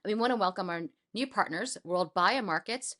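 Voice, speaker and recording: A woman with a mid-range voice co-hosts a podcast in a lively, enthusiastic way. She chats with the guest and asks questions. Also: mid-range voice, brisk. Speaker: podcast co-host. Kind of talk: podcast hosting.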